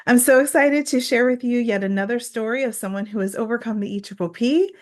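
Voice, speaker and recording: Higher-voiced woman hosting an interview. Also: fairly quick. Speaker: host, psychologist and exam coach.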